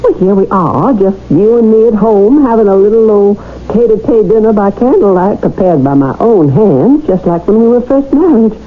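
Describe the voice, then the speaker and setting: An actress playing a devoted wife in a radio drama, affectionate and coaxing but insistent. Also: female voice, mid-range voice, animated, normal speed, hardly any pauses, close to an everyday voice. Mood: affectionate, cosy. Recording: muffled, noisy old radio broadcast.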